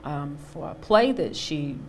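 A woman in her thirties with a low voice tells a story on a studio panel stage. She brings her tale to life by acting out the people she quotes. Her lapel mic catches a faint hum of the room. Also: lively intonation, a little slow, darkish tone. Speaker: panel guest, actor. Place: studio stage with a small audience.

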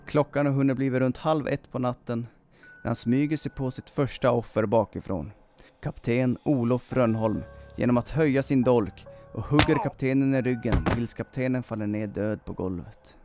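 A man in his thirties reading a scripted true-crime story in a measured, dramatic storytelling manner. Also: medium pitch, darkish tone. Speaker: storyteller, channel host. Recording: music under the voice. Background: background music.

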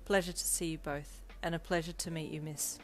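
A woman voicing a courteous young male hero in a monotone, deadpan manner for a story. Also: low voice, a little fast, slight background noise, close to an everyday voice. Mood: polite.

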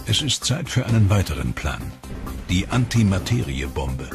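A man with a very low, deep voice reads documentary narration in a measured, dramatic way. It is a clean studio voice-over with clear music running underneath. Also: very animated. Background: clear background music.

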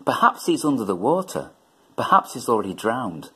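A man's voice: medium pitch, very animated, moderate pace, sharp tone, slightly rough. A middle-aged man reading a children's story aloud expressively. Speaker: story reader. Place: indoors in a plain room.

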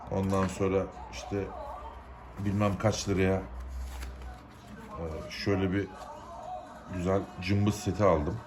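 A man from Turkey gives an informal, unscripted how-to, explaining step by step in plain, colloquial words. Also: fairly low pitch. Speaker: hobbyist giving a DIY repair tutorial.

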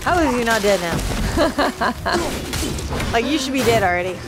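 Exclamatory young woman over loud game music. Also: fairly high pitch, lively intonation, normal speed. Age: young adult. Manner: exclamatory, slangy. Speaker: reaction show host. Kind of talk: casual live reaction to game cutscenes. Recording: loud dramatic game soundtrack behind.

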